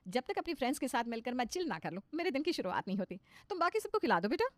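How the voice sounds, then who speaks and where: A woman's voice: high-pitched, big pitch swings, racing, no room sound. A woman voicing an anxious middle-aged mother-in-law who puts on modern airs, coaxing and flustered, in a comic cartoon story. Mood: affected, breezy. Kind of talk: cartoon comedy story dialogue.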